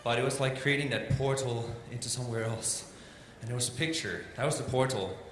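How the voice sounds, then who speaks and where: A man's voice: medium pitch, a little fast. Danish-accented man in an echoey hall. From Denmark. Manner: loose and chatty, many "like" and "um" fillers, self-deprecating. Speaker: illustrator presenting a talk. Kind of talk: informal presentation. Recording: echoey hall with steady background noise.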